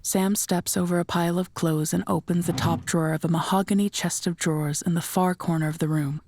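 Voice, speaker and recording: A woman's voice: lowish voice, fairly even intonation, brisk, darkish tone, a little hiss or hum. A woman reading scripted third-person narration for an audio drama.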